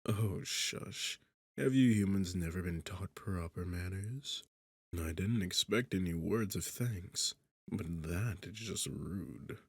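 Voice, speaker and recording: A man voicing a smug, sarcastic demon king in an ASMR roleplay, with a husky, gravelly low voice and a mocking, theatrical delivery. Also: no echo, full character voice. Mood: haughty, commanding. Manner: mocking, teasing, condescending, theatrical. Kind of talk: ASMR roleplay audio drama.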